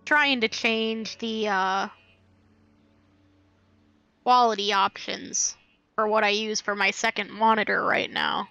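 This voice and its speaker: Slow, bright-voiced woman livestreaming. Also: mid-range voice, steady tone, gravelly, dry recording. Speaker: game livestreamer. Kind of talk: casual livestream chat.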